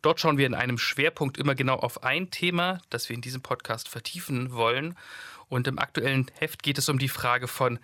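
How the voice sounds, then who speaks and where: Man, thirties, podcast host, measured talk, dry close mic. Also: mid-range voice, bright, forceful tone, dry recording. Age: 30s. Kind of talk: podcast interview.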